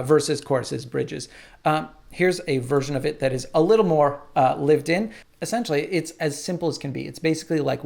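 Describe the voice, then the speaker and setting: A man casually explaining his songwriting workflow in a relaxed tutorial, with frequent "uh" and "like". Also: on the high side, vocal fry. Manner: relaxed, lots of "uh" and "like".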